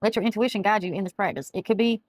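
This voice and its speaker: A middle-aged woman, chatting through a tarot reading and reading aloud from a card book, her voice going hoarse as she often loses her words. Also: medium pitch, very fast, darkish tone, no echo. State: losing her voice. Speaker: tarot reader. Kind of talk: casual tarot reading to camera. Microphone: camera mic, speaking to camera.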